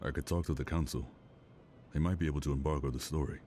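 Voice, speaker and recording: A devoted, steady partner in an intimate audio drama. The man's voice is extremely deep and dark, calm and reassuring, with faint ambient noise behind it. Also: fast talker. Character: steady, devoted husband-like partner and secret father.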